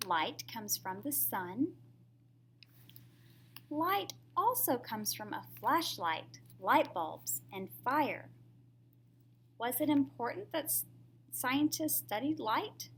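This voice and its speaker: A woman's voice: a little high, sing-song, slow pace, pauses often, fairly bright tone, slight background noise. A woman reading a picture book aloud to young children in a teacherly way, often putting questions to the listener.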